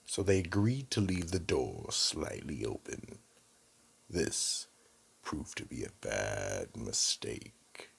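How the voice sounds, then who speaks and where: Man doing a gravelly, bottomless-deep horror storyteller. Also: sing-song, takes it slowly, a little hiss or hum, full character voice. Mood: ominous. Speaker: narrator and host. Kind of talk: scripted horror narration.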